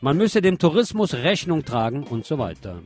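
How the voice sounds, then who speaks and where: A man's voice: on the high side, sing-song, normal speed. A man reading scripted radio news and commentary in a wry, editorializing way. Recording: music bed under the voice.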